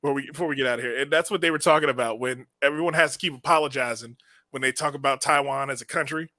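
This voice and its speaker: A man hosting a comedy podcast, rambling through loud casual banter with restarts. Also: medium pitch, very fast, no echo. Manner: rambling, restarts, bursts into shouting voices. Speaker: podcast host.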